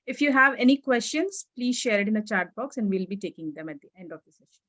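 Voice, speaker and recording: A woman's voice: medium pitch, animated, fast talker, a bit bright, no echo. A woman hosting an online session, handing over to the speaker with polite, formal announcements. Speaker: session host.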